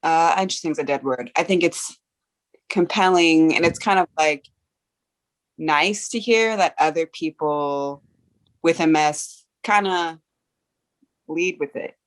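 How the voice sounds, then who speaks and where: A woman's voice: deep voice, measured pace, pauses often. A woman in a casual group conversation who rambles and fills her sentences with "like" and "you know".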